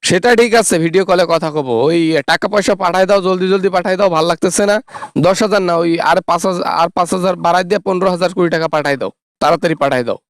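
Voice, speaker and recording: Man acting greedy sarcastic son, very high male voice, scornful, demanding, dry sound, comedy parody. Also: fast, no echo. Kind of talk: satirical phone-call parody skit.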